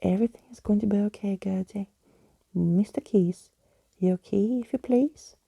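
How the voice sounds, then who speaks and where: A woman's voice: mid-range voice, moderate pace, gravelly, mellow, slightly rough, no room sound. A woman voicing a kind, plucky young girl with an earnest, reassuring manner in a storybook.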